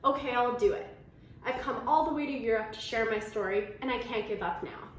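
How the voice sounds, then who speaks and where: A woman voicing an earnest, excitable young boy in a children's storybook, with expressive delivery. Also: fairly high pitch, brisk, lightly acted. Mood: hesitant, resolved. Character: young Inuit-town boy, excitable, easily daunted, earnest. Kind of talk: children's storybook read-aloud. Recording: very echoey room, faint noise.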